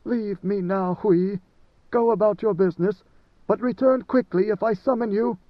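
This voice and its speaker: A man's voice: sits high, moderate pace, dry recording, voice pushed up, natural voice. A man voicing an ancient, tormented God Emperor who speaks with anguish and command. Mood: quiet. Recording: dry, dull lo-fi sound.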